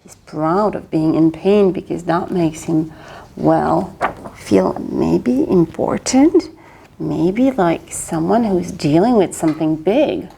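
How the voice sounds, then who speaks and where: A woman's voice: lowish voice, takes it slowly, vocal fry, warm tone, slight background noise, poor audio. A woman reading a picture book aloud to children, coaxing them with questions about the story. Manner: coaxing, asks the listener questions. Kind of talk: interactive read-aloud for children.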